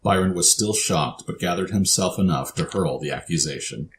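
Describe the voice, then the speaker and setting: Deep-voiced man narrating a story. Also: normal speed, a little hiss or hum.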